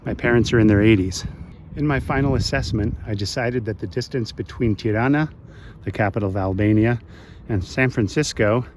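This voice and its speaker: Guy almost sixty from the us west coast, lowish voice, calm thoughtful voice-over, faint street noise behind. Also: brisk. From west coast of the United States. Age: 50s. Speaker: travel vlogger. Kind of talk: scripted voice-over narration.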